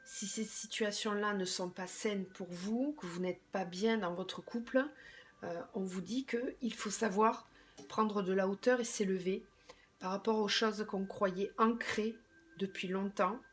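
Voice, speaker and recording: A slightly hoarse woman doing a tarot reading, thinking aloud hesitantly and often trailing off into a quieter voice. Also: medium pitch, steady tone, measured pace. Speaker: tarot card reader.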